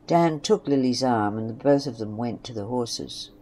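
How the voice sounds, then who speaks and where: A woman's voice: a bass voice, moderate pace, warm tone. A woman narrating a scripted western story. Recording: faint background noise and ambience.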